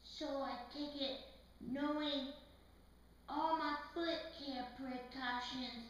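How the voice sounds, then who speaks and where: A woman's voice: high voice, fairly even intonation, slow, slight background noise. A woman voicing a confused, worried puppet patient in an educational show, with a hesitant, plaintive, slangy way of talking. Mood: anxious. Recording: very echoey room, far from mic.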